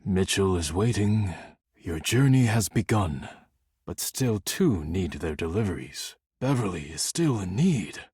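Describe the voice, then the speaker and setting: Stern, low-voiced, dignified man. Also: unhurried, husky, dry recording, clean studio sound, exaggerated voice. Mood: stern, solemn. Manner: formal, curt, deadpan, solemn, avoids contractions. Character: stern, proud, dignified male dishware character, easily offended. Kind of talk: scripted video game character acting.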